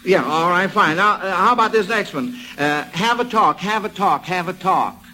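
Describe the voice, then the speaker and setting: A man's voice: sits high, normal speed, pushed and bright. A middle-aged American man hosting an old-time radio quiz show in a folksy, chatty way, repeating himself approvingly. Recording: noisy vintage radio broadcast recording.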